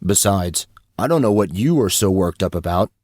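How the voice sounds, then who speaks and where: A man's voice: sits low, expressive, moderate pace, a little mellow, no echo, studio quality. A man voicing a gloomy, lovesick, indignant teenage boy with a sulky manner in an audiobook story.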